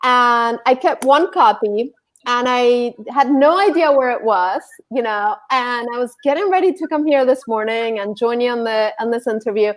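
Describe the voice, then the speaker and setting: A woman from Argentina speaks as a guest in a casual video-call interview. Her voice is fairly high, and she tells her story with eager emphasis, sometimes repeating a word as she goes. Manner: enthusiastic, emphatic, some repeats.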